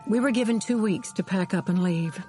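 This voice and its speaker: An actress playing a composed intelligence insider who narrates a radio drama with an arch, dry, knowing manner. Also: female voice, medium pitch, fairly quick, warm tone, faint music behind, lightly acted. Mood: wry. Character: wry, composed woman intelligence insider recounting events.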